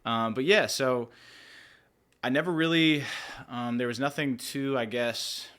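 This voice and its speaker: A man's voice: mid-range voice, measured pace, fairly bright tone, clean tone. A young man from Pennsylvania, a musician guest in a podcast studio, talking in a laid-back, rambling way full of "like" and "dude". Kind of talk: casual interview chat.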